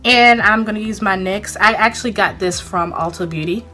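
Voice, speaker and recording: A young woman filming herself close up on a phone in a small room, talking through a makeup routine. Her voice is bright and clear at a medium pitch, a little stuffed up and short of breath from a cold. She chats loosely and rambles, with plenty of fillers. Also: normal speed, soft background music. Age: young adult. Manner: chatty, rambling, lots of fillers. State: congested from a cold, a bit out of breath. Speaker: beauty vlogger. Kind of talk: casual makeup tutorial. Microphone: phone camera, close up.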